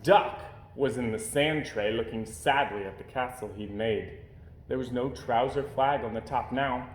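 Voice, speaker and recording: A man reading a children's picture book aloud as an expressive storyteller. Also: mid-range voice, unhurried, a little hiss or hum. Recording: roomy, echoey indoor sound.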